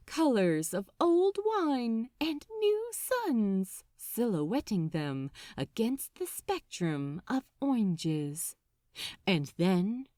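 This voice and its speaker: A woman reading fiction as an audiobook narrator, expressive and dramatic, with deliberate phrasing. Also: mid-range voice, dry recording, studio quality.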